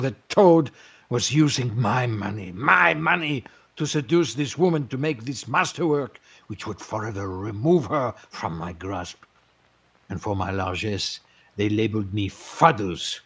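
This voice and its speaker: A man's voice: medium pitch, lively intonation, measured pace, slightly rough. A man playing a vain, bitter, jealous film producer and ex-convict in an audio drama, speaking in a pompous, theatrical, self-justifying way. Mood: outraged, bitter.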